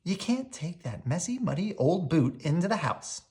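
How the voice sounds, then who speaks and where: A storyteller voicing a gentle but firm mother dog in a picture book, motherly and mildly scolding. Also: medium pitch, very animated, moderate pace, a little hiss or hum, pitched above the performer's own voice, lightly acted. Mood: gently firm.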